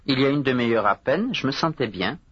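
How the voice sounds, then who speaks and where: A man with a husky, slightly breathy voice answers drill prompts in a careful, measured way, each phrase articulated clearly. The sound is dull and lo-fi, recorded close and dry with no room around it. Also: medium pitch, expressive, a bit bright, no room sound. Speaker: language course model speaker. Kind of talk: scripted language drill responses.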